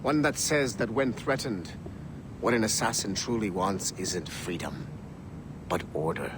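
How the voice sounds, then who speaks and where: An actor playing a cold, authoritative corporate Templar in a video game, with measured, imperious delivery. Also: male voice, close to an everyday voice. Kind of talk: dramatic video game acting. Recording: rumbling noise behind the voice.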